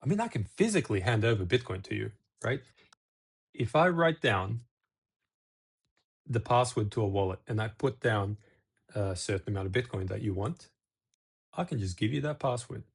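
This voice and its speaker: A man's voice: on the low side, animated, normal speed, creaky, long pauses, husky. A middle-aged man from Serbia hosting a casual talk-to-camera video, rambling with restarts and asides. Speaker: video host.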